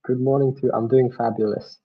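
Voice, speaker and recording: A young man from the Czech Republic with a Czech accent, a native Czech speaker, answering interview questions remotely in a halting way. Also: mid-range voice, little pitch movement, phone-quality sound. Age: young adult. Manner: halting, with restarts and fillers. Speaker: guest, mindset coach.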